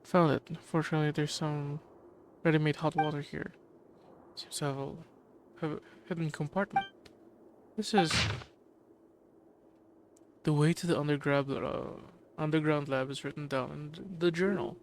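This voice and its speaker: A man's voice: on the high side, fairly even intonation, moderate pace, pauses often, a little hiss or hum. A man streaming a game, casually muttering and reading along as he plays. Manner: mumbled, offhand reading-along. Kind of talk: casual commentary while playing.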